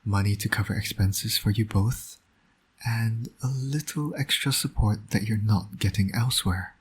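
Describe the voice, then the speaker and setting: A low, husky male voice for a roleplay audio drama , a man acting it out. He is a smug villain who flirts and needles with dry sarcasm, yet shows a fatherly soft side. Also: moderate pace. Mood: coaxing, wry. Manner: smug, teasing, sardonic. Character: teasing, flirtatious male supervillain, doting secret father.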